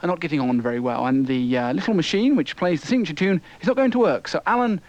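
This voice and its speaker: A man's voice: fairly high pitch, expressive, quick pace, dry recording. A man announcing on radio, informal and apologetic about technical trouble. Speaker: radio presenter.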